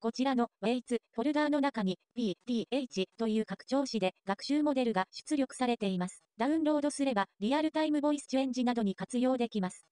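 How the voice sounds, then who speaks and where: A woman reading scripted narration for a software tutorial. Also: a little high, animated, fast, few pauses, dry recording.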